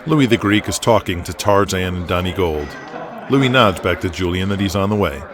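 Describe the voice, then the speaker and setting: A man's voice: low-pitched, normal speed, crowd noise. A man reading dramatic scripted narration for an audio drama.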